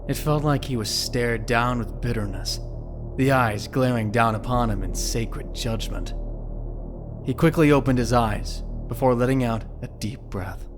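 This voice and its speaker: A man with a medium-pitched voice reads a scripted dramatic story as its narrator. Music plays softly behind him. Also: unhurried.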